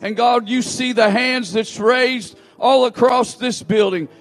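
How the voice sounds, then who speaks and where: A man leading a fervent church prayer, opening each plea with "God" and rising to shouts, in a large church sanctuary. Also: very high voice, fairly even intonation, unhurried, faint music behind. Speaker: prayer leader in a church service.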